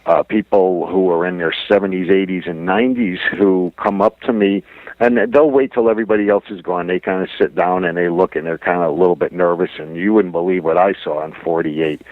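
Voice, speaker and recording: A man's voice: deep voice, fairly even intonation, brisk, no echo. A man speaking as a guest researcher in a radio interview, telling his story earnestly and informally. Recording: dull, lo-fi dry radio sound.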